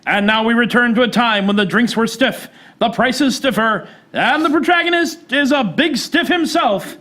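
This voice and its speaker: A man's voice: extremely high pitch, moderate pace, pushed and bright. A man working as an announcer, reading a sponsor message and introduction for a radio play. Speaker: announcer and writer.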